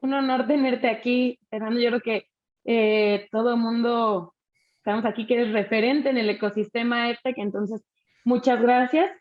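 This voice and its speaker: A woman hosts a webinar in a slightly high voice, speaking conversationally and tagging her phrases with quick questions. The sound is muffled and lo-fi, as over a video call. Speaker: host. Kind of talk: webinar moderation.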